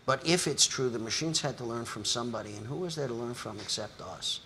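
A man's voice: medium pitch, brisk, pushed and bright, slight background noise. An older man moderating a panel discussion in a lecture hall, speaking in long, articulate, lecturing sentences.

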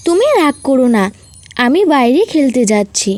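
A woman's voice: high voice, lively intonation, normal speed, darkish tone, slight background noise. A woman voicing a petulant little village girl in a cartoon, whiny and complaining. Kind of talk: cartoon voice acting.

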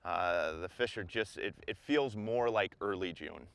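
A man's voice: low-pitched, unhurried, gravelly, fairly bright tone. A man in his forties, a fishing guide, giving an upbeat, chatty report to camera from an open boat on a lake, recorded on a lapel mic. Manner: upbeat, chatty, explaining as he fishes. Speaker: fishing guide and show host.

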